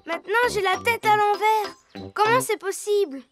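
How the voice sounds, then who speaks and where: A woman's voice: very high voice, normal speed, loud music behind the voice. A woman voicing an earnest, dreamy little boy pony in a children's cartoon. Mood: puzzled.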